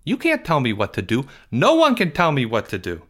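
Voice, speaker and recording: A man voicing a whiny, self-important young boy who is quick to take offence and sulk. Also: mid-range voice, wildly swooping pitch, a bit bright. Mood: indignant whining.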